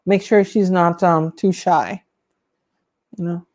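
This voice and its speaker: A woman's voice: lowish voice, fairly even intonation, slow, a little mellow. A woman co-hosting a livestream, chatting casually about her hobby in an informal, conversational way. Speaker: livestream co-host.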